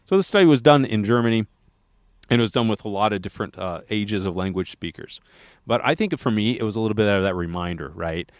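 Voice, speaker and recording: Man, podcast co-host, casual rambling chat, low pitch, dry sound. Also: quick pace, dry recording. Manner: rambling, restarts with many fillers.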